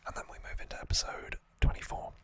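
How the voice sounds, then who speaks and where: Whispering man, ASMR host. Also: fast. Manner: informal, rambling, self-correcting. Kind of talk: whispered ASMR trivia recap.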